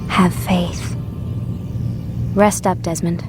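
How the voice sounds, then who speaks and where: Soft-spoken young lab assistant. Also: female voice, on the low side, flat delivery, extremely slow, music in the background. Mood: reassuring. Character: gentle, guarded young female lab assistant. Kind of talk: video game cutscene acting.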